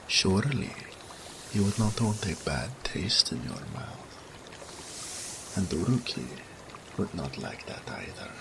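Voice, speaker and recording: A man playing a seductive, possessive and doting male naga in an intimate romantic audio roleplay, speaking slowly in soft murmurs that drop into whispers. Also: deep voice, vocal fry, noisy background, heavily acted. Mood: teasing, commanding. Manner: slow, third-person speech, soft murmurs dropping to whispers.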